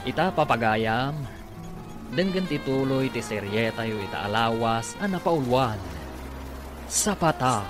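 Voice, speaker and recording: A man's voice: medium pitch, lively intonation, a little slow, over music. A man with an Ilocano Filipino accent delivering dramatic scripted narration as a radio drama announcer.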